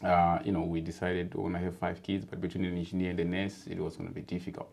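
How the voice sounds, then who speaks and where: A man from Zimbabwe, talking as an interview guest in a relaxed, chatty way, with many fillers and easy laughter. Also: deep voice, quick pace, gravelly. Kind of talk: casual interview chat.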